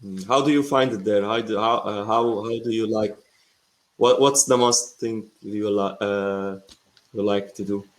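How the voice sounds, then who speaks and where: A man's voice: lowish voice, fairly even intonation, measured pace, poor audio. A young man from Syria, speaking English, who chats hesitantly on a video call with many fillers and restarts. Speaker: English learner. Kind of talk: casual video chat.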